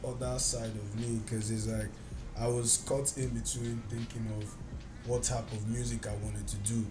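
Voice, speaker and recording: A man's voice: mid-range voice, little pitch movement, normal speed, music in the background. A man with a heavy Nigerian accent, a guest musician talking casually on a podcast, loose and full of filler words. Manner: laid-back, lots of "like" fillers.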